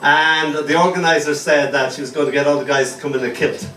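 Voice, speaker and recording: A man from Ireland reading his poems to a live audience in a small cafe, with joking asides between slow, tender recitation. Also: a little high, steady tone, pushed and bright. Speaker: poet reading at an open mic. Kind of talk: poetry reading with banter. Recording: roomy, distant, faint noise.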